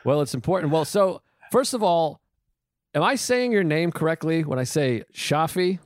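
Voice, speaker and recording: A man's voice: on the high side, animated, normal speed, no echo, studio quality. A man hosting a podcast interview over a call, joking, swearing and fretting about the audio. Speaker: podcast host.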